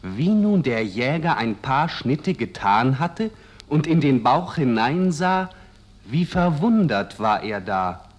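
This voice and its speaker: A man narrating a fairy tale for children in a measured, expressive way. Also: medium pitch, highs cut off.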